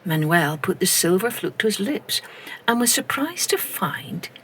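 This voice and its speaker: A woman telling a children's story aloud in an expressive, unhurried way, now and then dropping to a soft whisper. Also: mid-range voice, slight background noise, rough recording. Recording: music playing under the voice.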